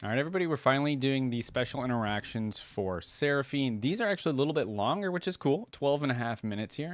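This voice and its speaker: A man giving chatty, musing off-the-cuff reaction commentary, close to the microphone. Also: mid-range voice. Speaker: reaction video host.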